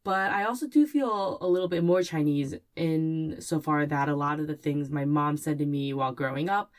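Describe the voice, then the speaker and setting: An American woman guest from New Jersey, talking in an animated, chatty way. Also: sits low, normal speed.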